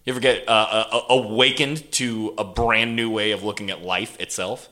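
A man playing a dazed, lovestruck delivery driver in improvised radio comedy, halting and rambling as he goes. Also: on the low side, fairly even intonation, sharp tone. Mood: smitten, excited.

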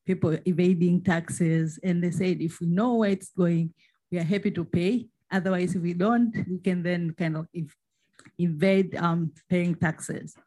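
A woman with a Tanzanian accent asking a question and commenting at a seminar, with hesitant, pause-filled phrasing. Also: lowish voice, fairly even intonation, dark tone. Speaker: social protection expert, audience member.

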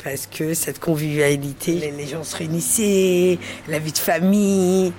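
Energetic Parisian restaurant owner, chatty woman. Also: sits low, measured pace, slight background noise. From Paris, France. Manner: energetic, repeats words and restarts often. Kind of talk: casual interview chat.

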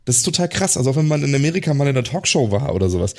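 A man's voice: medium pitch, big pitch swings, rapid-fire, a little mellow. A man co-hosting a casual podcast chat, talking enthusiastically and acting out cheering audiences. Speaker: podcast co-host.

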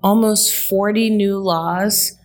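A woman's voice: mid-range voice, fairly even intonation, slow, darkish tone. A woman in her late thirties, a guest telling a story in a reflective, conversational way with frequent fillers. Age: late 30s. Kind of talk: conversational interview.

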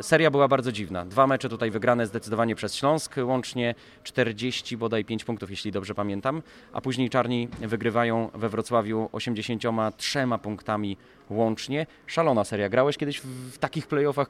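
A man's voice: medium pitch, normal speed. A man hosting a sports podcast, talking through games and putting questions to a guest. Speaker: podcast host. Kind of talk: sports talk and interviewing.

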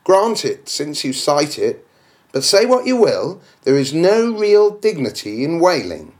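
A man's voice: a little high, big pitch swings, a little slow, pitched above the performer's own voice. A man voicing a scornful, dismissive male objector in a dramatic audiobook reading. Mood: scornful objection.

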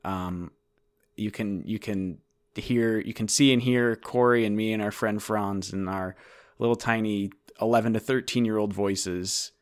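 A young man in casual chat who restarts his sentences and stumbles along. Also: lowish voice. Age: young adult. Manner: halting, restarts often, lively.

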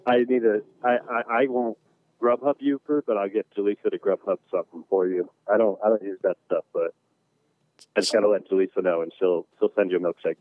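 A man's voice: on the low side, fairly quick. A man in his thirties calling in as a guest, modest and laid-back. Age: 30s. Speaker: guest caller. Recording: tinny phone line.

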